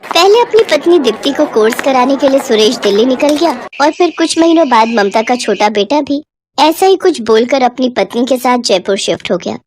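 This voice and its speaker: A woman's voice: high voice, animated, moderate pace, background noise. A woman reading a scripted family story as a storyteller.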